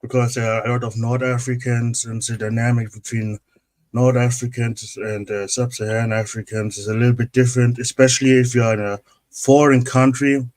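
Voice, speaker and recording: A man's voice: medium pitch, moderate pace. A man who grew up in Germany, chatting casually on a podcast and telling stories with voices for the people he quotes. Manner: animated storyteller who acts out quotes. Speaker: podcast panelist. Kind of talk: casual chat.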